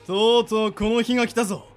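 Man acting a high-pitched, fervent monster boss. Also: natural voice. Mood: determined. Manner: rousing speechmaker, dramatic exclamations. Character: scheming male monster boss, fervent, theatrical, ambitious. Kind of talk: anime-like radio drama acting.

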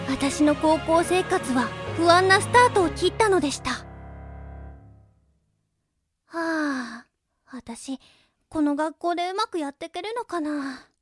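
Woman doing a very high, jumpy schoolgirl voice, all flustered self-talk, radio drama. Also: lively intonation, soft background music. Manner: flustered inner monologue, quick swings. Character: excitable teen schoolgirl, awkward and self-mocking. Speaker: narrator and lead character.

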